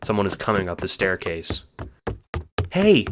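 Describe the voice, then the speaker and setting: A man's voice: sits low, fairly even intonation, normal speed, background noise. A man reading scripted second-person story narration, as the narrator of an audio drama.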